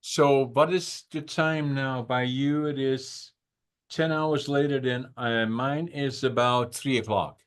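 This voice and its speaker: A man in his eighties from the Netherlands, a podcast guest who talks over a video call and recalls his childhood in slow, reflective stories with many pauses. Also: mid-range voice, steady tone, smooth. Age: 80s. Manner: unhurried, reflective, with frequent "uh" pauses. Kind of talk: conversational storytelling.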